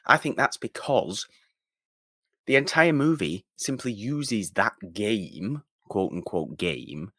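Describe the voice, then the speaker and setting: A man's voice: on the low side, lively intonation, takes it slowly, a bit bright, no room sound, clean studio sound. A man co-hosting a podcast, talking casually about film and repeating his points for emphasis. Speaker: podcast co-host.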